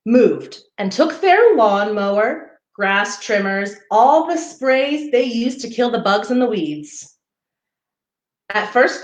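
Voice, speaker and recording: Slow, lilting woman reading a children's story, echoey. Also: medium pitch. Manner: expressive, pausing to point out pictures to young listeners. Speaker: parks foundation outreach coordinator hosting story time. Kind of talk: read-aloud children's story time. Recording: roomy, echoey livestream sound.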